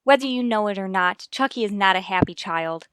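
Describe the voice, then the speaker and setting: A woman's voice: medium pitch, animated, brisk, fairly bright tone, clean tone, no echo, natural voice. An actress playing an earnest, determined wife and worried mother in a radio soap, speaking in a firm, formal, reasoning way. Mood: firm, indignant. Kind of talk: radio soap opera acting.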